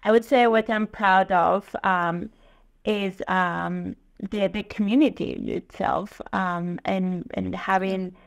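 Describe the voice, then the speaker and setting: A woman from Spain with a Spanish accent, a guest on a video call interview, speaking thoughtfully with many pauses and ums. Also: mid-range voice, fairly even intonation, vocal fry. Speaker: guest, learning engineer. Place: home room, indoors. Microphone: video call webcam.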